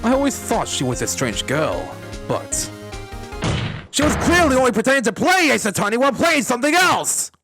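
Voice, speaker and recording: A haughty male prosecutor from a courtroom game played by a solo fan dubber. He is pompous and indignant, leaping around in pitch with theatrical outrage, with music behind. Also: sing-song, moderate pace, vocal fry. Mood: smug accusing. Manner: pompous, stammers when outraged. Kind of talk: fan dub courtroom comedy, dramatic video game acting.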